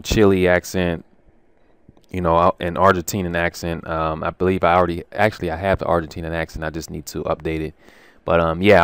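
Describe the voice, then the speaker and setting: Low smooth guy, super casual, lots of ums, dry close sound. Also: no echo. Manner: informal, chatty, many ums and restarts. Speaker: language course creator. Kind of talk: casual screen-share walkthrough.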